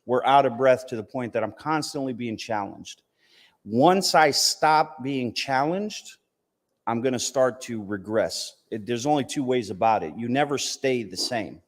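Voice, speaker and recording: A man's voice: mid-range voice, lively intonation, measured pace. An American man giving an informal religious talk in a conversational way, often checking in with his listeners. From United States, Southern family from West Virginia, partly raised in Palestine. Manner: conversational, punctuated with "you know" and "right?" checks. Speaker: Islamic teacher giving a talk. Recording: reverberant hall.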